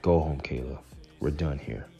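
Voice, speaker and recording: A man's voice: extremely low pitch, moderate pace, warm tone, slightly rough, highs cut off, faint music behind, heavily acted. A man playing a stern, coldly threatening husband and father protecting his family in an audio drama. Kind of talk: modern audio drama acting.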